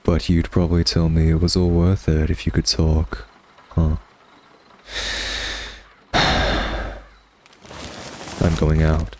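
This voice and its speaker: A man's voice: extremely low pitch, completely flat delivery, takes it slowly, pauses often, full character voice. A man playing a smug, hungry vampire who teases and taunts sardonically in an intimate ASMR roleplay monologue. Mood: bitter, taunting. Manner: sardonic, taunting, drawling, theatrical. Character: smug, teasing, hungry male vampire nemesis.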